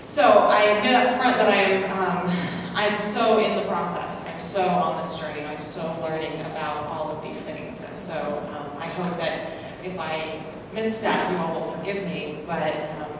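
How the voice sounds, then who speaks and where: A woman's voice: low voice, fairly even intonation, normal speed, few pauses. Earnest woman in echoey hall. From United States. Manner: earnest, self-deprecating, many ums. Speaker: panelist. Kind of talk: conference panel talk. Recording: echoey hall from far off, noisy.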